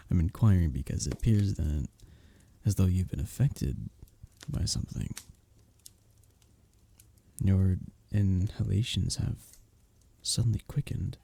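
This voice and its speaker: A very dark, velvety male innkeeper from a medieval fantasy roleplay, acted out by a man, speaking slowly and intimately. He is courtly and teasing, with flowery phrasing and a soft, breathy voice that swings expressively in pitch. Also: big pitch swings, exaggerated voice. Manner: flirtatious, courtly, soft chuckles between phrases. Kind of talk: intimate ASMR roleplay with flowery old-fashioned speech.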